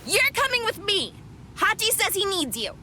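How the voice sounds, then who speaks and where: A brash young anime girl fighter. Her voice is very high and bright, swinging widely as she snaps out blunt, combative lines over faint music. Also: sing-song, moderate pace, slight background noise, exaggerated voice. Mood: startled, bossy. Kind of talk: anime game dub acting. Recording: music behind the voice.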